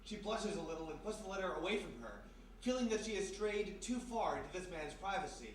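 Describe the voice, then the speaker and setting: A man acting as the measured, dramatic narrator of a live old-time radio mystery. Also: sits high, natural voice. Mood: melancholy, hushed. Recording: very echoey live hall, distant mic, faint noise.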